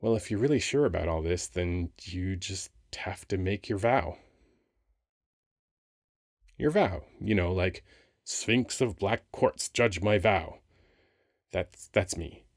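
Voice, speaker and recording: A man playing a flirty, wry, weary sphinx who guards a temple, talking casually and haltingly in an ASMR roleplay. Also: low-pitched, no room sound, studio quality, full character voice. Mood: reluctant, flirty.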